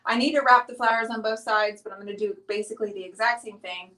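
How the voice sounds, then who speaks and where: A woman's voice: mid-range voice, fairly even intonation, fast, pushed and bright. A middle-aged woman hosting a painting show, chatting casually and thinking aloud as she paints. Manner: chatty, teasing, thinks aloud while working. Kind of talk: casual live-stream chat while painting. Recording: roomy sound from a distance.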